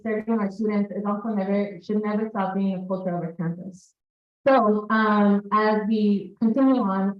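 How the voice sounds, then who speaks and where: Woman in an echoey hall. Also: medium pitch. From El Salvador. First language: Spanish. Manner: lively, engages the audience directly. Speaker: staff presenter. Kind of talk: speech to college staff. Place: large hall with an audience. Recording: echoey hall, distant mic, dull sound.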